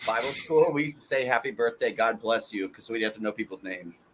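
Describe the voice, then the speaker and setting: A man's voice: fairly low pitch, animated, fast talker, a little hiss or hum. A man in his thirties telling stories in a casual chat, laughing at his own jokes.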